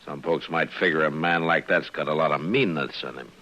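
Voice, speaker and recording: An American actor playing a firm, level-headed lawman in a 1950s radio western, speaking in a measured, plain way with authority. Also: a bass voice, pushed and bright, exaggerated voice. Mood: calm, reasoning. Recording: dull vintage radio recording.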